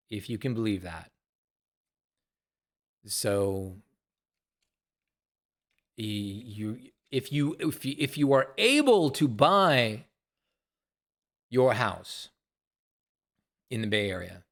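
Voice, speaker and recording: A man's voice: on the low side, fairly bright tone. A man in his sixties talks to viewers in a loose, rambling monologue, repeating phrases and swearing casually. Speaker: vlogger.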